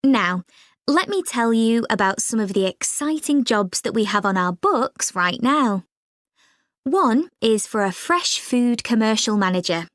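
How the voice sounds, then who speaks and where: A woman playing a professional recruitment agent, giving a clear, measured, scripted informational talk. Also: fairly high pitch, expressive, fairly bright tone, no echo, clean studio sound. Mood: informative. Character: professional female recruitment agent presenting job vacancies.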